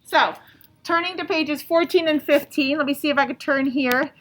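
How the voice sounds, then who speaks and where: Woman, schoolteacher, high voice, instructive, question-led, reading to young children, distant mic, faint background noise. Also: moderate pace, fairly bright tone, reverberant. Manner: instructive, checks understanding with questions. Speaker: elementary school teacher. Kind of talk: teaching young children and reading a storybook aloud.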